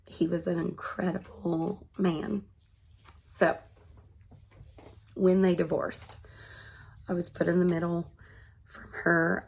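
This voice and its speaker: A woman in her thirties, congested and hoarse from being sick, talking earnestly to her vlog audience. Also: on the low side, a little mellow. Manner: slow, earnest and measured. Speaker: vlogger. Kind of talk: personal vlog monologue.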